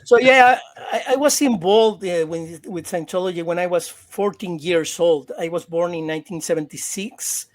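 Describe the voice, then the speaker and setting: Cheerful Mexican man, Spanish native speaker. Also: high voice. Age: 40s. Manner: halting, repeats words, laughs often. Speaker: interview guest. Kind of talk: casual interview.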